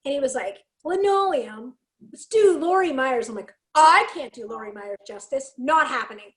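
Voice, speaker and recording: A woman from Canada with a raspy, fairly deep voice, telling stories in a casual chat and acting out the lines she quotes. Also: moderate pace, echoey room. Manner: animated, acts out quotes, laughs often. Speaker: podcast guest, singer and speaker.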